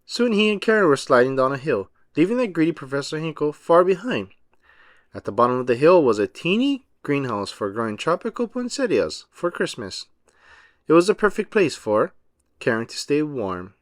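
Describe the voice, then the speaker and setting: A man narrating a children's storybook aloud as an expressive, emphatic storyteller. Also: on the high side, moderate pace, dry recording, clean studio sound.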